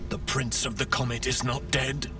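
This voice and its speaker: An actor voicing a stern, zealous githyanki rebel knight in a video game, speaking in a grand, fervent, oratorical manner. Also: male voice, mid-range voice, little pitch movement, moderate pace, lightly acted. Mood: fervent, ceremonial. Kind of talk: dramatic video game dialogue. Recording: distant, with music underneath.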